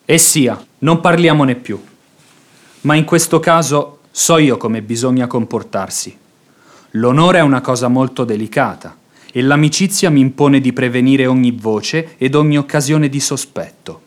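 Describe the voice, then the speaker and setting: An actor playing a sanctimonious, scheming devout beggar in a radio drama, speaking in a measured, unctuous, self-sacrificing way. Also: male voice, medium pitch, close to an everyday voice. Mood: pious reluctance. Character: sanctimonious hypocritical male devout beggar, falsely humble and scheming. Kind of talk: theatrical Italian radio drama acting.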